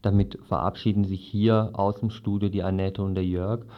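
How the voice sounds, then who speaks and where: A man's voice: deep voice, little pitch movement, moderate pace. A man presenting live radio in an informal, improvised, casual way. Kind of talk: informal live programme sign-off. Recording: dull, lo-fi radio recording.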